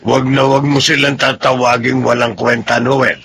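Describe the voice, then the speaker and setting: Man acting a gravelly, kindly old retired soldier. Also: medium pitch, level tone, normal speed, background noise, close to an everyday voice. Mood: firm, reproachful. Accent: Filipino. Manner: patient, earnest, admonishing. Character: kind, steadfast old retired soldier, fatherly grandfather. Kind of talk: Filipino radio drama acting.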